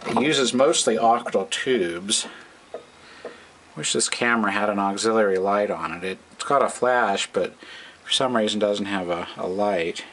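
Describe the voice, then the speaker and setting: A man's voice: on the low side, unhurried, a bit bright. A middle-aged man giving a casual, off-the-cuff hobbyist walkthrough, hesitant and thinking aloud, recorded on a camera's own microphone. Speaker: hobbyist vintage radio restorer. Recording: noisy amateur camcorder recording.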